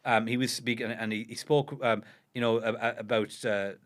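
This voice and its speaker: A man's voice: medium pitch, little pitch movement. An Irish man co-hosting a history podcast, talking in casual conversation with frequent restarts and fillers. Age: under 50. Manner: fast, halting, many restarts and "you know". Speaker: podcast co-host.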